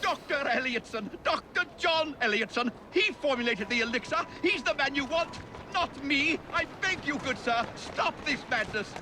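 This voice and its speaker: An actor playing a blustering, pompous old Victorian scientist with an English accent and emphatic, spluttering delivery in a video game. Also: male voice, very high voice, lively intonation, moderate pace, background noise. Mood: panicked, pleading. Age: older. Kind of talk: dramatic video game acting.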